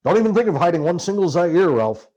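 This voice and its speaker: Man acting a ranting, husky paranoid American journalist. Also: sits high, big pitch swings, fast talker, warm tone, lo-fi sound, pitched above the performer's own voice. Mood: urgent warning. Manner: urgent, barking, emphatic orders. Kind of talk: dramatized audiobook dialogue.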